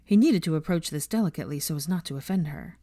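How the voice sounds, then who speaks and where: Lowish, animated woman narrating a story. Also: fast talker, dry recording, clean studio sound. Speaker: fan fiction audiobook narrator.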